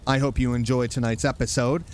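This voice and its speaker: A man's voice: medium pitch, little pitch movement, brisk, a little hiss or hum. A man hosting, reading a scripted campfire-style introduction to a horror story. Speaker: host.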